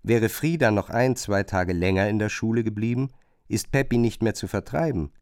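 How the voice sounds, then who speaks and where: A man's voice: lowish voice, normal speed, darkish tone, clean tone, dry recording, clean studio sound. A man narrating a radio drama in a scripted, literary style.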